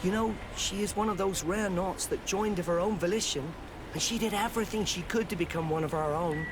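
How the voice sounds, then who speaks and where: An actor voicing a friendly, courteous ship's sailor in a video game, polite and earnest. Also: male voice, high voice, brisk, noisy background, lightly acted. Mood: warm, earnest.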